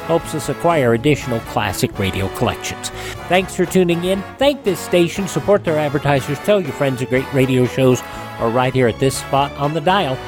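A man's voice: medium pitch, expressive, normal speed, over music. An American man hosting a radio show, delivering announcer-style sign-off talk.

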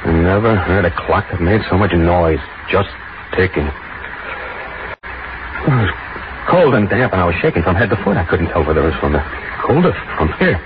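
An actor playing a frightened, reluctant killer who narrates in a tense, halting, brooding way in a 1940s radio drama. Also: male voice, deep voice, animated. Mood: grim, nervous. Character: frightened reluctant man lying in wait to kill. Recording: noisy, muffled 1940s radio recording.

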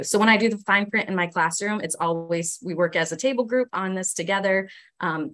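Low-voiced woman teacher telling a story. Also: a bit bright. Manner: anecdotal, with fillers and restarts. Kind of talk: panel discussion storytelling.

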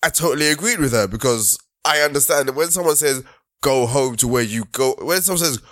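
A man's voice: mid-range voice, fairly quick, fairly bright tone, no room sound, clean studio sound. A man co-hosting a casual podcast chat, explaining his point at length and acting out other people's voices as he goes.

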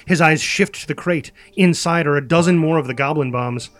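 Higher-pitched man narrating a screenplay. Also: brisk. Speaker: stage-direction narrator.

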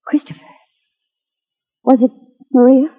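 A woman's voice: high voice, flat delivery, unhurried, in a large hall. A woman playing a well-bred, anxious young heroine in an emotive old-time radio drama style. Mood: anxious, shaken. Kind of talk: 1940s-50s radio drama acting. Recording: tinny vintage radio recording.

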